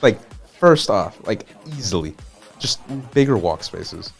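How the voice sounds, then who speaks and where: A man's voice: fairly low pitch, sing-song, a little slow, gravelly, slightly rough, faint music behind. A man co-hosting a live-streamed talk show, chatting casually, swearing a lot and filling his talk with "like". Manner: swears often, heavy use of "like". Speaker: podcast co-host. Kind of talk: casual chat.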